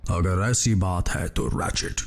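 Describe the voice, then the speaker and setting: A man voicing a wise, calm robot leader in a cartoon dub, speaking in a measured, grave way. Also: low voice.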